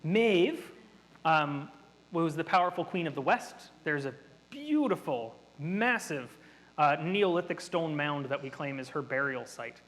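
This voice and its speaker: A man's voice: on the high side, big pitch swings, a little slow, fairly bright tone. A man running an interactive workshop, explaining things in a chatty, nerdy way to a room of participants. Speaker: workshop presenter. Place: hall with an audience. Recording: reverberant hall.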